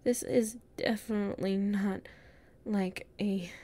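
A woman acting a weary, anxious human exploring a castle in an audio roleplay, breathy and hesitant, muttering to herself. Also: medium pitch, steady tone, slow pace, dark tone. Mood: weary, musing.

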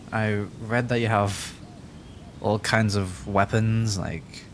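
A man's voice: lowish voice, slight background noise. A man voicing a timid, adorkable young dragon in a roleplay audio drama, stammering, hesitant and rambling.

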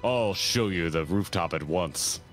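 A man reading as a deep-voiced dwarf observer. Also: faint music behind. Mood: hearty. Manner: deep, slow-read dwarf lilt ending in eh. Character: bluff male dwarf trial observer.

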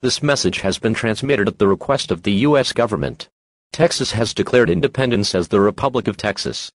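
A man's voice: on the low side, brisk. A man reading a scripted emergency broadcast announcement in a formal, impersonal manner.

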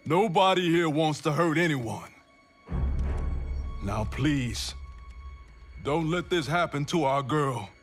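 A man's voice: fairly high pitch, expressive, slow, pauses often. An actor playing a protective, desperate soldier father in a video game. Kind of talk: video game cutscene acting. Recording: loud music behind.

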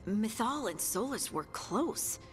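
Lively, curious fantasy investigator. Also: female voice, fairly high pitch, slow pace. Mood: pensive. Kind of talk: conversational fantasy game acting. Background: faint music.

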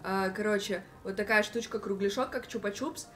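A woman's voice: mid-range voice, a little fast, fairly bright tone, a little hiss or hum. A young woman chatting on a stream from a living room, telling stories and laughing easily. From Russia. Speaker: stream co-host. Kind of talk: casual chat.

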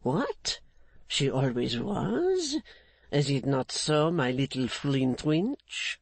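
Man doing a slow, mocking villain, insolent and menacing, dry sound. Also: medium pitch, big pitch swings, gravelly, no room sound, full character voice. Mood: sneering. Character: menacing swaggering adult male blackmailer, insolent.

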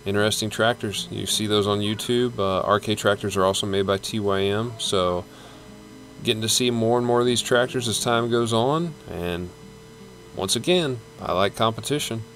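A low-voiced man narrates his stroll through a big indoor exhibition hall in an easygoing, plain-spoken way. It sounds like a handheld camera mic, and faint music plays underneath. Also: normal speed, a bit bright. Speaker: channel host. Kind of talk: casual walkthrough commentary.

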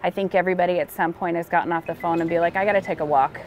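Casting: American woman, middle-aged, earnest 911 supervisor in a documentary interview, low-set voice. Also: steady tone, rapid-fire, a little hiss or hum. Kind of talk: candid documentary interview about work. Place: dispatch center office, indoors. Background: music.

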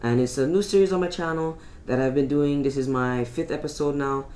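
A teenage boy giving casual, rambling commentary over his own gameplay recording at home, full of fillers and quick to complain. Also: medium pitch, fairly even intonation, darkish tone. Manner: rambling, filler-heavy, easily irritated. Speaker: gaming YouTuber. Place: home room.

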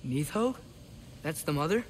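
A man's voice: a little high, animated, measured pace, slight background noise, rough recording. A man playing a curious, earnest teenage boy adventurer in a video game, talking in an informal, questioning way. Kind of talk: naturalistic video game acting.

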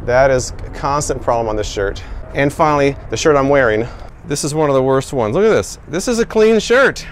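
A man's voice: medium pitch, expressive, a little fast. American guy in his 40s doing a casual product review, bit of background noise on the camera mic. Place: covered outdoor patio and indoor laundry room. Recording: noisy background ambience.

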